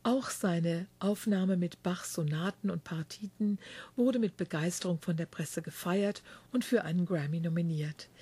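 A woman hosts a radio culture show, reading a long biography in a chatty way and correcting herself as she goes. Her voice is mellow and a little husky. Later she interviews her guest backstage in a dressing room, heard from some distance off the mic. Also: fairly low pitch. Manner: chatty, warm-hearted reading with small slips and self-corrections. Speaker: radio host.

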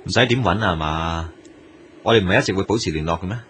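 A man's voice: low voice, fast talker, fairly bright tone, slight background noise. A man acting as a wistful young man in a radio drama, speaking and narrating in Hong Kong Cantonese. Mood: wry, gentle.

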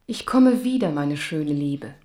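A woman's voice: deep voice, lively intonation, a little slow, mellow, a little hiss or hum. An actress in a German radio drama playing a proud young noblewoman, cool, dry and unimpressed. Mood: dismissive, mocking.

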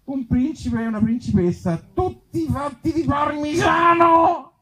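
A man voicing a befuddled, stubborn reader of absurd little fairy tales, halting and repeating words in a comic storybook reading. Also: very high voice, a bit bright, pitched above the performer's own voice. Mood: earnest, confused. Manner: halting, stumbling, repeats words, abrupt endings.

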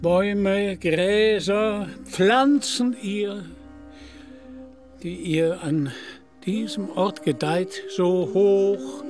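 Radio drama: pompous, grief-struck deluded knight; very drawn-out theatrical verse, high male register. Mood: lamenting. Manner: pompous, declamatory, drawn-out delivery. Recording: music behind the voice.

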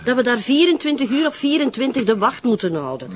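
Casting: plain-spoken Flemish female officer, fairly high animated voice, naturalistic TV drama, a bit muffled. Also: quick pace. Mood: grumbling. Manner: familiar, colloquial. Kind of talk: naturalistic television crime drama acting. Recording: slightly muffled audio.

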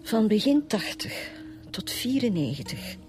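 An actress playing a grieving, embittered burglar's widow with a Flemish accent and a hesitant, sighing delivery in a radio drama. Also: female voice, on the high side, sing-song, unhurried, darkish tone, soft background music. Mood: weary, resentful.

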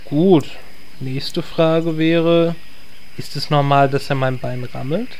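A man's voice: fairly high pitch, fairly even intonation, takes it slowly, a little mellow. A man playing a vain, dryly sarcastic, exasperated druid in a comedic audio drama. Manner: dry, hesitant, sarcastic.

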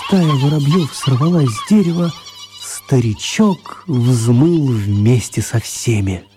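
An actor playing an author who narrates his children's story in a radio play, mellow and husky. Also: medium pitch, animated, slow pace, faint music behind. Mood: storytelling.

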